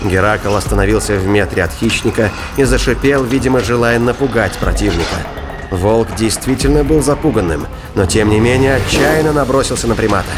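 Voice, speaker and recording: A man's voice: on the low side, brisk. A man reading scripted dramatic narration for an audio drama. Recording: loud music and effects behind. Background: music, sound effects.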